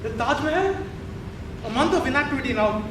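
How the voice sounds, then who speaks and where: An actor playing a dutiful, chatty palace attendant with courteous, formal delivery in a stage drama. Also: male voice, very high-pitched, expressive, brisk. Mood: confiding, grave. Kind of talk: theatrical stage acting. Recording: echoey stage, distant mic, noisy.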